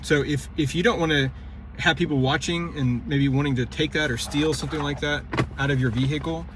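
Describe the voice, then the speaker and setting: A middle-aged man gives an unscripted product demonstration as a vlog host. His delivery is casual and meandering, with halting phrases that he often repeats as he goes. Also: fairly high pitch, fairly even intonation, noisy background.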